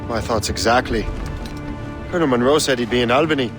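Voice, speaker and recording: A man's voice: mid-range voice, fast, over music, lightly acted. A man voicing a wry, sceptical sea captain in a video game, plain-spoken and dry. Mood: wry, guarded. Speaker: ship's captain. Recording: wind and sea noise. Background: ship, waves and wind.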